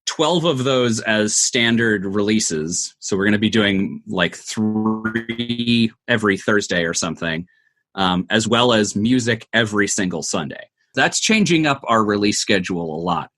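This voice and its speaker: A man's voice: fairly low pitch, fairly bright tone. A man giving thoughtful, conversational interview answers, explaining things step by step. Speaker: interview guest, audio drama producer.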